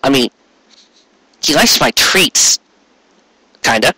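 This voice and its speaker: A man voicing an anxious, gentle young stallion pony in an audio story, pleading in a halting, stammering way. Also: mid-range voice, expressive, breathy edge, dry recording, heavily acted, low-quality recording.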